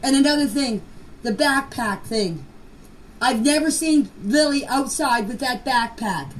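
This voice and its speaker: A woman's voice: high-pitched, lively intonation, measured pace, low-quality recording. An older woman informally recounting memories in a plain-spoken, folksy way, often repeating her phrases. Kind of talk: informal personal recollection. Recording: clear background noise, amateur voice clip.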